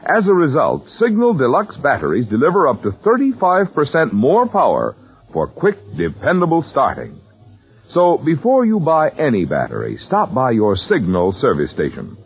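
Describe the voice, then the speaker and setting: A male announcer delivers emphatic, polished old-time radio copy. His medium voice swings widely in pitch, with a husky edge and a muffled vintage sound. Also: wildly swooping pitch, moderate pace, soft background music. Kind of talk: 1940s radio advertising read.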